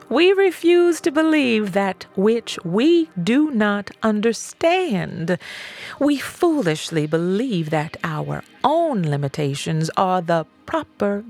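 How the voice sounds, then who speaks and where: A woman reading a self-help audiobook in a measured, emphatic storytelling manner. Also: medium pitch, creaky, a little mellow. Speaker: audiobook reader. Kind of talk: scripted narration of a self-help book.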